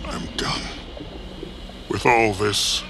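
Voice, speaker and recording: A man's voice: on the low side, steady tone, very slow, creaky, bright, forceful tone, a little hiss or hum, full character voice. An actor playing a disillusioned male deserter in a video game, speaking in a weary, pleading way. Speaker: game character. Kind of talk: video game cutscene acting.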